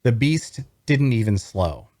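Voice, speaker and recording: A man narrates a fantasy tale in the first person with theatrical expression. His medium-pitched voice moves widely up and down, and the recording is very dry. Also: very animated, a little slow, darkish tone, no echo. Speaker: narrator, voice actor.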